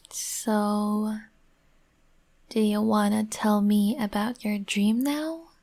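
Woman, slow breathy whisper, gentle comforting partner roleplay. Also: medium pitch, steady tone. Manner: soft soothing whisper.

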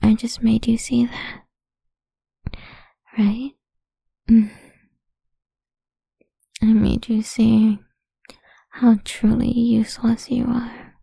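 A woman playing a domineering, condescending lover in intimate adult audio roleplay, speaking in a breathy, whispery voice with a commanding manner. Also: on the high side, monotone, warm tone, no room sound, exaggerated voice. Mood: possessive, condescending. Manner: slow, commanding, alternately cooing and scornful.

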